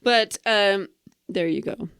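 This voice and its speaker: A woman's voice: mid-range voice, takes it slowly, gravelly, a bit bright, smooth, no echo. A woman co-hosting a podcast, chatting casually and playfully in a rambling, trailing-off way. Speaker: podcast co-host. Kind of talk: casual banter retelling fairy tales.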